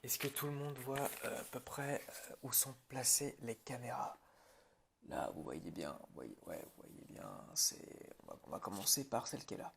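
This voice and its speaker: A man's voice: mid-range voice, expressive, moderate pace, vocal fry, fairly bright tone, slight background noise. A man speaking informally and hesitantly in an improvised ASMR roleplay, as a film director addressing his crew.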